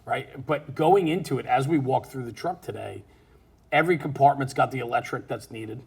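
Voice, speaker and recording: A man's voice: mid-range voice, normal speed, creaky, darkish tone, husky. A man hosting a conversational interview, enthusiastic and often checking in with his listener. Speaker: interview host. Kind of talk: conversational interview about fire apparatus.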